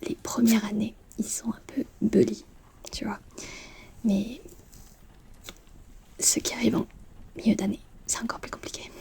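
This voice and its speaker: A woman playing a friendly, chatty, slightly distracted teenage schoolgirl in a whispered ASMR roleplay, speaking in casual, hesitant student slang. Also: on the high side. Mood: confiding. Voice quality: soft, breathy whisper.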